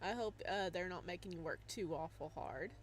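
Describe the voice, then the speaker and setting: A woman's voice: on the low side, moderate pace, slight background noise. A young woman hosting a casual live stream, chatting and laughing as she plays a visual novel and greets her viewers by name. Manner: bubbly, giggly, greets chatters by name, hums to herself.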